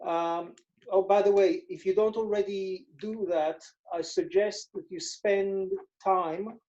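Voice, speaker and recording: A man's voice: high voice, fairly even intonation, slow pace, warm tone, dull audio. A man from Israel with an Israeli accent, a financial advisor explaining his methods in a casual interview and repeating words for emphasis.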